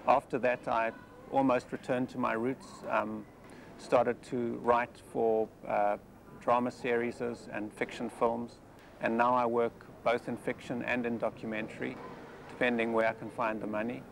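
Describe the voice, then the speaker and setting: Gravelly South African man, measured and hesitant. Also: mid-range voice, flat delivery, slight background noise. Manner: measured, thoughtful, with many hesitations. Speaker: film director. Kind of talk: interview monologue. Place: outdoors on steps of a busy city square.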